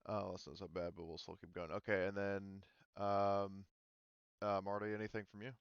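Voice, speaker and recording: A man's voice: low voice, little pitch movement, moderate pace, vocal fry, clean tone, no echo, muffled recording. A man hosting a tabletop game group as game master, talking casually and putting questions to his players in an organised, easygoing way.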